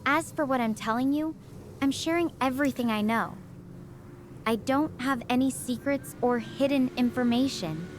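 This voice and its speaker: A woman's voice: fairly high pitch, slight background noise, close to an everyday voice. An AI woman voicing a stranded researcher. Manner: earnest, measured explanations. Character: stranded young woman researcher, earnest, anxious survivor. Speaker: astrophysics researcher.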